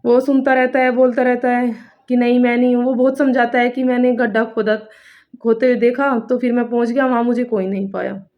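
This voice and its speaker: High-voiced woman storyteller, dull lo-fi recording. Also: little pitch movement, a little fast. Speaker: storytelling coach and presenter. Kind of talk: spoken storytelling, explaining to viewers.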